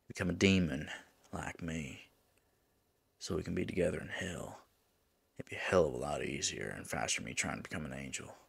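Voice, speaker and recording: A man playing a lovesick demon in an intimate ASMR roleplay, pleading tenderly with his angel lover in a gravelly, husky voice and folksy turns of phrase. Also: sits low, a bit bright, exaggerated voice. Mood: pleading, devoted. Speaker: voice actor in an audio roleplay.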